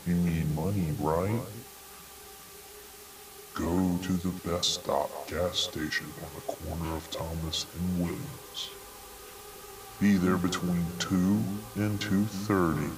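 A man playing an eerie, coaxing entity that speaks out of TV static in an analog horror monologue, deliberate and insistent. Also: low voice. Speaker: character in an analog horror video.

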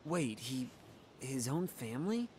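A man's voice: mid-range voice, very animated, measured pace, slight background noise. A man playing an earnest, loyal and hopeful young male warrior in a video game. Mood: surprised. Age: young adult. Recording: loud game music over the dialogue.

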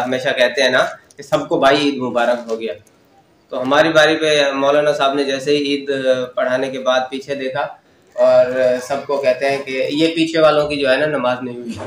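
Young male stand-up host, self-mocking and chatty, lapel mic, echoey studio with background noise. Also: medium pitch. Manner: chatty and self-mocking, laughs through his own lines. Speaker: stand-up comedian and channel host. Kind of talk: casual stand-up comedy monologue. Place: studio in front of a lit backdrop.

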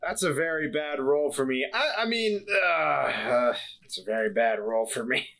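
A man's voice: mid-range voice, animated, normal speed, gravelly, pushed and bright. A man chatting casually as a player in a tabletop game.

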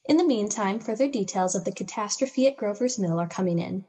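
A woman's voice: medium pitch, lively intonation, fairly quick, warm tone, lightly acted. A woman playing a formal radio newsreader relaying grave news in a radio play. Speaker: news announcer. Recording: long hall-like reverb.

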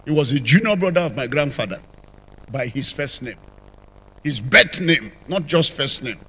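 An older man with a Nigerian accent, telling his conversion testimony as a church apostle, with sudden rises and drops in loudness, on a handheld mic in a large church. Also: mid-range voice, animated, moderate pace, slightly rough. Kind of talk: testimony sermon. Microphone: handheld microphone.

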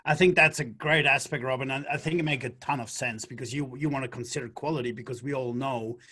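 A man's voice: medium pitch, steady tone, fast talker, sharp tone, breathy edge. A man in his forties moderating an online panel from a home office, upbeat, with many fillers and repeated words. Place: home office, video call.

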